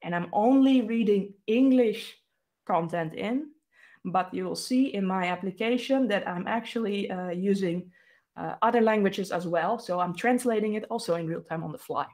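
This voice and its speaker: A woman's voice: mid-range voice, moderate pace, darkish tone. A woman in her thirties with a Dutch accent, walking through a technical demo over a webcam from a small room. Her talk is casual and loose, full of fillers and restarts. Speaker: developer advocate presenter. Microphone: webcam video call.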